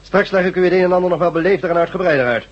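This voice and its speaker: Fast-talking commanding rebel chief. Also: male voice, high-pitched. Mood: businesslike. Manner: curt, authoritative, given to cursing. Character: brisk, commanding leader of a ragged rebel troop. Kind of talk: Dutch science-fiction radio drama acting. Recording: muffled old radio drama recording.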